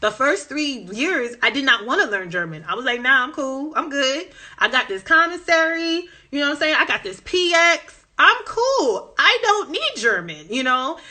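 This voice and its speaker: A woman's voice: sits high, sing-song, normal speed, few pauses, sharp tone. A woman with a Southern American accent chatting passionately on a phone live stream, reading viewer comments as she goes. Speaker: language-learning Instagram host.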